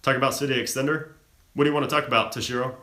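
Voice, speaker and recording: A man's voice: mid-range voice, steady tone, racing, pushed and bright, roomy sound. A young man from the American South, hosting a live stream and reading viewer questions aloud before answering them offhand. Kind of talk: casual live Q&A chat.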